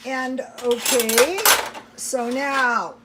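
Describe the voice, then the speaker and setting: A woman's voice: sits high, steady tone, very slow, heavy background noise. A woman chatting casually to viewers while cooking at home, thinking aloud in a rambling, folksy way. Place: home kitchen.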